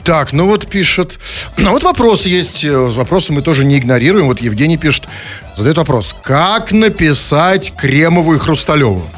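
A man's voice: a little high, lively intonation, moderate pace, a bit bright. A man hosting a casual comic radio show, bantering in a mock-serious, digressive way with teasing rhetorical questions. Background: music.

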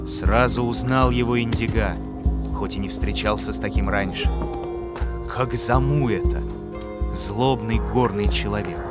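Male storyteller over music. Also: on the low side. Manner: measured, expressive reading. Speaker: narrator. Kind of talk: storytelling a folk tale.

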